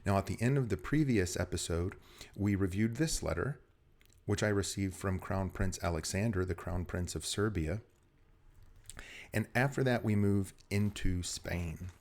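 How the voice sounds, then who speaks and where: A man's voice: low-pitched, darkish tone. A man hosting a casual video show, chatty and explanatory, his voice a little scratchy today. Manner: chatty, explanatory, occasional small laughs. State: scratchy voice from weather change. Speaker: host.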